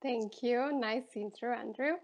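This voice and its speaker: An Italian woman, a counselor and life coach, being interviewed and talking in a lively, expressive way with quick bursts and restarts. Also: on the high side. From Italy. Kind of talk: casual interview.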